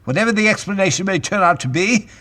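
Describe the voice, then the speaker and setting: A man's voice: sits high, expressive, fast talker, bright, forceful tone, a little hiss or hum. A man playing an assured, courteous, middle-aged scientist-adventurer in a sci-fi audio drama, with urbane, formal and authoritative phrasing.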